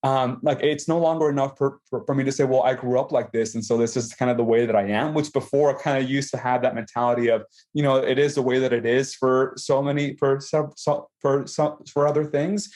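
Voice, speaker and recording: A man's voice: medium pitch, fairly even intonation, brisk, hardly any pauses, a little mellow. A young man from Mexico, a podcast guest talking reflectively in a casual conversation. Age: young adult. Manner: reflective, many restarts and fillers. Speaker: guest, food company founder.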